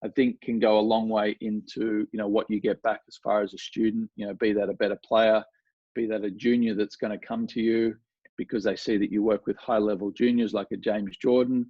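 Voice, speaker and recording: A man's voice: lowish voice, brisk, no echo. Australian male guest, easygoing and meandering, muffled close dry audio. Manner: relaxed, rambling with many fillers and restarts. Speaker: golf coach, guest. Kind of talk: casual conversational interview.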